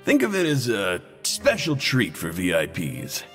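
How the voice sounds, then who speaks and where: Low, animated, sly drinks seller. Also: male voice, measured pace. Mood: sly, obliging. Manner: animated salesman patter. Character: sly, obliging male drinks vendor. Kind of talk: video game character acting. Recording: long reverb, music underneath.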